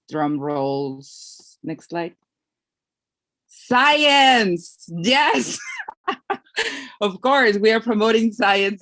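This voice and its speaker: A woman's voice: fairly low pitch, dry recording. A woman announcing research award winners on a video call, playful and laughing between lines.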